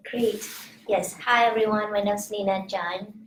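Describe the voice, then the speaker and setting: A woman's voice: medium pitch, fairly even intonation, normal speed. Young woman from Vietnam, echoey room. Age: young adult. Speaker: admissions student worker. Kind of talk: student panel chat. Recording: echoey room with faint noise.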